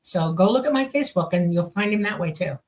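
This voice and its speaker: A woman's voice: lowish voice, animated, quick pace, darkish tone. A woman in her sixties whose first language is Swedish, co-hosting a casual podcast and rambling with lots of fillers. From born on a military base, raised all over. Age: 60s. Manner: rambling, many fillers and restarts. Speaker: co-host, contactee.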